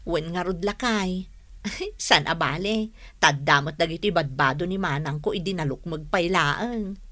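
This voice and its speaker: A woman playing a thrifty, cheerful younger married woman in an Ilocano radio drama, talking in an expressive, frank, teasing way. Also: fairly low pitch, measured pace. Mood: gossipy, amused.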